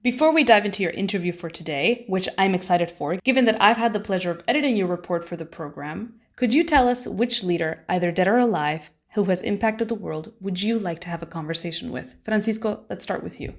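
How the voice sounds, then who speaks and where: A young woman hosts a podcast in a voice of ordinary, middle pitch. She reads her opening from a script and then moves into questions for her guests. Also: brisk, hardly any pauses. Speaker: podcast host. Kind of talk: scripted podcast intro and interview.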